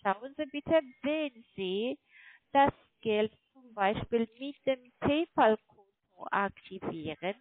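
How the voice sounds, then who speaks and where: A woman telemarketer with a foreign accent, not a native German speaker, making an insistent, halting phone sales pitch and often checking for agreement. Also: expressive, no room sound. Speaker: call centre telemarketer selling online trading. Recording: muffled phone line, fluctuating microphone volume.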